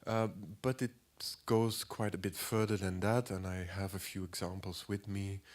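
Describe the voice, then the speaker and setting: A man in his forties with a cold, giving a halting lecture as an artist, clearing his throat often and filling pauses. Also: deep voice, flat delivery. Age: 40s. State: has a cold.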